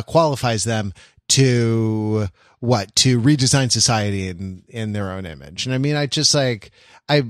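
Guy, mid-pitched voice, sardonic podcast chat, really dry sound. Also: dry recording. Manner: wry, sardonic. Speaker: podcast co-host. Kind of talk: casual conversational commentary.

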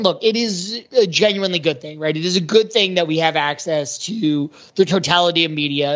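A man's voice: on the high side, fairly bright tone. A middle-aged man in casual podcast conversation, talking emphatically and often checking that listeners agree. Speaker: podcast panelist. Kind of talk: casual chat. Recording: dull lo-fi voice recording.

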